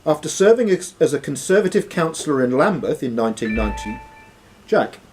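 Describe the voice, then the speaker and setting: A man's voice: on the high side, big pitch swings, brisk, darkish tone, a little hiss or hum. A man hosting a live quiz in a club room, reading the questions aloud and ruling on answers. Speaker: quiz host and question reader. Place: sports and social club room.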